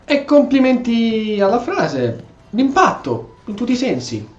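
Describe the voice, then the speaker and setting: A man with an Italian accent giving casual, playful, excitable commentary as he role-plays through a video game. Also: very high-pitched, normal speed, reverberant, highs cut off, faint music behind. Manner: excitable, joking, exclamatory. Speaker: gameplay streamer.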